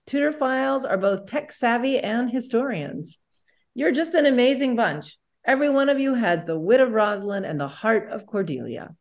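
A woman's voice: on the high side, animated, normal speed. A woman co-hosting a podcast in an upbeat style of presenting and chat. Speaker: podcast co-host.

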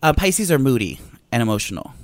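A man in his forties in casual chat, brash and joking, quick with crude punchlines. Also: medium pitch, sing-song, dry recording, clean studio sound. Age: 40s.

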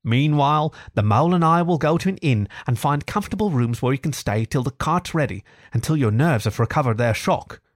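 Man doing a stern, exasperated water rat, pitched up. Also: expressive, fairly quick, hardly any pauses, no echo, clean studio sound. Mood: sharp, impatient. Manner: stern, firm, sharp. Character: brisk, exasperated, no-nonsense male water rat. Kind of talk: storybook character reading.

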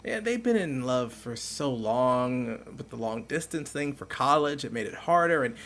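A man playing a caring, teasing middle-aged uncle in a naturalistic audio drama, speaking in a gentle, wry, reassuring way. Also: medium pitch, expressive, moderate pace. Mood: reminiscing.

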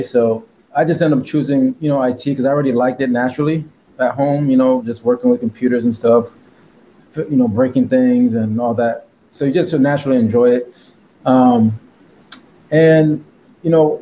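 A man's voice: mid-range voice, warm tone, a little hiss or hum, low-quality recording. Easygoing American man telling his story. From Connecticut, USA. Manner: rambling with many fillers and laughs. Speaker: guest. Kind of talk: casual interview chat.

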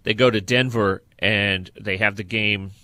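A man's voice: lowish voice, fairly even intonation, a little slow, pushed and bright, no room sound. A man co-hosting a sports podcast, chatting casually and giving emphatic opinions on football games.

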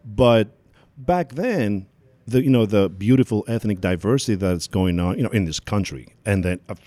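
Deep-voiced Greek man, halting speech. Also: lively intonation, no echo. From Greece. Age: 40s. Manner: halting, restarts sentences, trails off quietly. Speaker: guest photographer. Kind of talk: casual interview talk.

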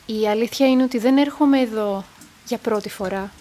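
A courteous young woman played by an actress makes a tentative request in a radio play. Her voice is fairly high yet very mellow and dark, with formal, careful phrasing. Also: a little hiss or hum, lightly acted. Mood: polite, tentative. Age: young adult. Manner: polite, formal. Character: polite young woman client with a hesitant request. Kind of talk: radio drama dialogue.

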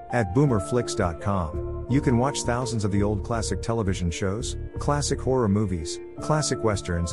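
A man with an American accent reading a scripted podcast introduction as the host of a classic radio series. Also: on the low side. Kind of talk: scripted announcement, episode introduction.

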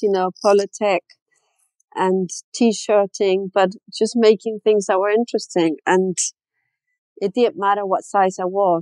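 A woman in her fifties from Chile, a fashion designer as a guest, chatting candidly and with self-deprecating humor in a relaxed interview. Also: mid-range voice, no echo, low-quality recording. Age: 50s. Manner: candid, self-deprecating, laughs often, many "you know"s. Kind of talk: casual interview chat.

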